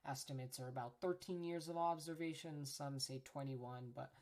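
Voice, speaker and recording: A woman's voice: extremely low pitch, normal speed, a little mellow. A woman hosting a casual educational podcast, chatty and informal, often tagging her points with "right?", correcting herself, and apologizing for her pronunciation. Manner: chatty, tags lines with "right?", frequent self-corrections. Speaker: podcast host.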